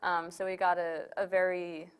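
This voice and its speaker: A woman's voice: on the low side, level tone, moderate pace, clear, resonant tone. Young woman chatting as an interview guest. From born in Romania, raised in New York City. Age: young adult. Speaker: interview guest, community organizer. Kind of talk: casual conversational interview answers.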